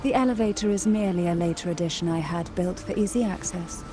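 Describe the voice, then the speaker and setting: A woman voicing a calm, cultured game noblewoman who speaks in a formal, measured, eloquent way over music. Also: fairly low pitch, background noise, natural voice. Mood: measured, knowing. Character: poised, cultured noblewoman of secretive schemes, calm and knowing. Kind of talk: video game voice acting, scripted dialogue.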